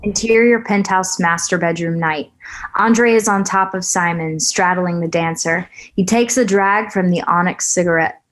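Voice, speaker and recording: A woman reading screenplay scene directions aloud at a table read, in an even, matter-of-fact way. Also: on the low side, moderate pace, rough recording. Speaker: stage-direction reader.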